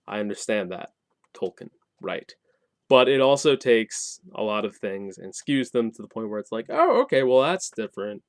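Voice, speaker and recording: A man's voice: lowish voice, big pitch swings, moderate pace, no room sound. Casual, wry man in a dry room. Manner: colloquial and wry, with sarcastic asides and mock dialogue. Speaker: tabletop game reviewer and host. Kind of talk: casual spoken review, explaining a game setting.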